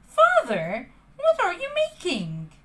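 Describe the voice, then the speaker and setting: A woman voicing an eager young wooden puppet boy in a high, excited voice for a read-aloud storybook. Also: takes it slowly, a little hiss or hum, heavily acted. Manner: eager, pleading, high-pitched.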